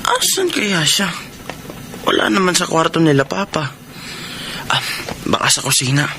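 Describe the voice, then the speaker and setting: An actor playing a sly, lecherous adult son in a Tagalog radio melodrama, with an insinuating manner. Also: male voice, fairly high pitch, wildly swooping pitch, a little slow, noisy background, heavily acted. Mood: sly, curious.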